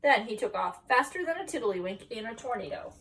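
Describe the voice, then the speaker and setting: A woman reading a picture book aloud expressively, pausing to explain and ask children questions. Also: a little high, far from the mic, a little hiss or hum. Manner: animated, pauses to explain and question. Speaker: teacher reading at school story time. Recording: voice some way from the mic.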